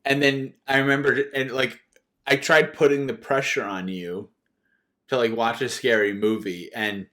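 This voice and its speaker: A man's voice: mid-range voice, steady tone, moderate pace, fairly bright tone. A man of 37 chatting casually as a podcast co-host, often restarting his phrases as he talks. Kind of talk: casual unscripted chat.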